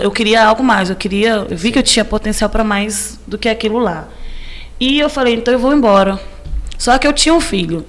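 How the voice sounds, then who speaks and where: A woman in her thirties from Cape Verde talks in a casual podcast conversation. Her pitch sits in the middle for a woman, and she speaks informally, often repeating a phrase to stress it. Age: 30s. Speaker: podcast guest, civil engineering teacher. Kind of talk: casual interview chat.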